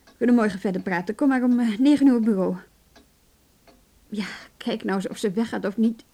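A higher-voiced, no-nonsense woman. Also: a little fast, dark tone. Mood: irritated, sleepy. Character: no-nonsense professional woman, wry and weary, named Martine Land. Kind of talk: naturalistic Dutch radio drama acting.

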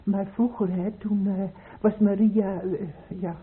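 A woman's voice: medium pitch, little pitch movement, unhurried, close to an everyday voice. A woman acting an anxious, fussing middle-aged mother in a radio drama, hesitant and solicitous. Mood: hesitant confiding. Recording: muffled phone-like old radio recording.

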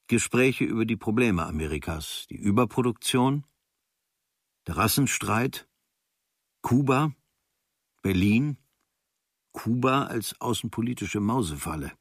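An actor reading as an observant writer recounting travels from a diary, in clipped, dryly observant phrases with a husky voice. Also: male voice, lowish voice, very animated, a little slow, pauses often, no room sound, studio quality.

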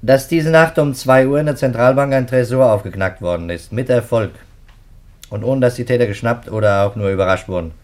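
An actor playing a businesslike, courteous police detective in a 1950s German radio play, brisk and matter-of-fact. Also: male voice, medium pitch, close to an everyday voice.

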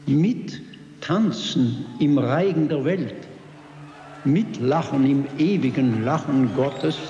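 An actor playing a rapt mystic reciting a creed solemnly, like an incantation, in a radio drama. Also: male voice, on the high side, takes it slowly, dark tone, lo-fi sound, slight background noise. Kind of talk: German literary radio drama acting. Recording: echoey hall with background ambience.

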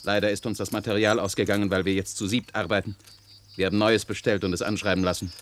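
Guy doing a loyal robot servant in a sci-fi radio play, lowish voice, proud and earnest, noisy background. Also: flat delivery, quick pace, close to an everyday voice. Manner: earnest, deferential, addresses his boss respectfully. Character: eager, loyal, defiant male robot servant, proud of his family. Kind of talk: German science-fiction radio drama acting.

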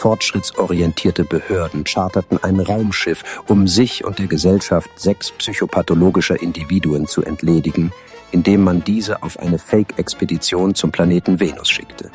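A man narrating a scripted satirical radio drama in a dry, mock-official manner. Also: sits low. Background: music.

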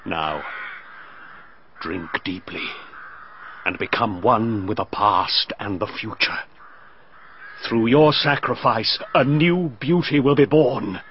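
An actor playing a calm, menacing man who performs a ritual sacrifice in a radio drama, speaking in a soft, solemn, hypnotic way. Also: on the low side, slow pace, long pauses, slight background noise. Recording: dull lo-fi broadcast recording.

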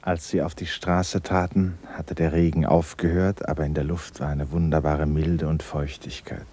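A man narrating a literary love story in a radio play, in a measured, subdued, melancholy way. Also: very deep voice, little pitch movement, mellow, husky. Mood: solemn, intimate. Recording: radio production, faint music.

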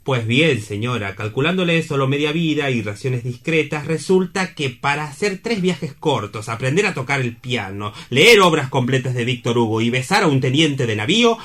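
A man's voice: mid-range voice, lively intonation, moderate pace, hardly any pauses, a bit bright. An actor playing a courteous, wry man in a comic stage play, speaking formally and didactically as he reasons with someone. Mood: reasoning, polite.

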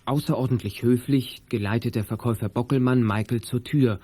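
A man's voice: mid-range voice, fairly even intonation, a little fast, dark tone. A man narrating a children's audio drama in a scripted storytelling style.

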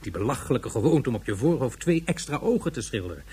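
A fussy male subordinate in a radio drama. His voice is markedly breathy and rough, rising and falling a lot. He talks formally, with theatrical indignation. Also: mid-range voice, sing-song, fast, a little mellow. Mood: skeptical. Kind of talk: Dutch radio drama acting.

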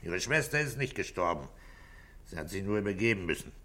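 An actor playing a shrewd middle-aged police inspector in a 1960s radio drama, speaking with deliberate, insistent questioning. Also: male voice, deep voice, sing-song, pushed and bright, exaggerated voice. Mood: stern, accusing. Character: shrewd male police inspector, methodical, grave, probing. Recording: vintage 1960s radio studio recording.

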